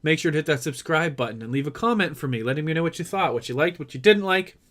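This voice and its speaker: A man's voice: a little high, expressive, fast talker, a bit bright. A man hosting a gaming video with casual, playful let's play commentary. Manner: playful asides, repeats the game's words.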